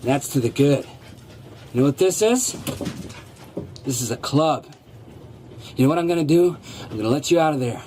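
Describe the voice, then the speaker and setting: An actor playing a sly gardener turned dog thief in a radio drama, coaxing and theatrical, repeating himself. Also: male voice, fairly high pitch, animated, moderate pace, long pauses, darkish tone, slight background noise, close to an everyday voice. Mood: taunting, domineering.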